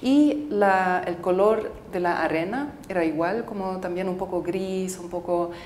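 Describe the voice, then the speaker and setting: Woman, native English speaker, thirties, low voice, halting thoughtful answers, echoey room, faint rumble. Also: darkish tone. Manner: thoughtful, halting, with frequent fillers and restarts. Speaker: filmmaker interviewee. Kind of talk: casual interview answers.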